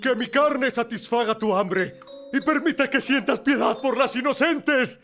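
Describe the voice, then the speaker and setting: A desperate, doomed man acted by a male performer pleads in a very high, strained-out delivery. It is horror radio drama, drawn out and theatrical, with prominent music underneath. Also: a little slow. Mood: resigned pleading.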